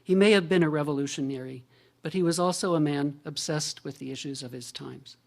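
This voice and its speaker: A male professor giving a measured, scholarly lecture and answering questions. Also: on the high side, gravelly, darkish tone. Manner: measured, scholarly, thinks aloud with restarts.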